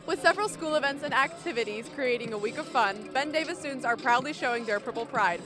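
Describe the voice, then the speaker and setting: A teenage girl reads a homecoming segment upbeat and a bit high. Music and a crowd sit clearly behind her voice. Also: animated, fast. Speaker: student reporter. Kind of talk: scripted news narration.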